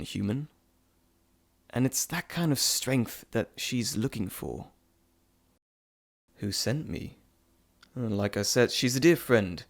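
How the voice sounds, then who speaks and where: A man's voice: mid-range voice, darkish tone. Playful immortal messenger god played by a man, mid-range voice, fond and teasing, intimate roleplay delivery with theatrical asides. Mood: confiding. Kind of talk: intimate audio roleplay to listener.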